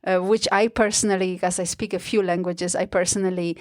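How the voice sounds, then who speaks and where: A woman hosts an interview podcast in a chatty, reflective style. Her pitch is on the low side, and the sound is very dry, with no room echo. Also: fairly even intonation, brisk, darkish tone. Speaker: podcast host. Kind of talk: conversational interviewing.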